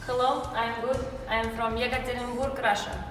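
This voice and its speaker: A woman's voice: fairly high pitch, steady tone, fairly quick, natural voice. A woman voicing an offhand, joking Russian interviewee who answers with hesitant "uh"s. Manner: puzzled, hesitant, jokey. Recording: echoey hall from far off, music behind.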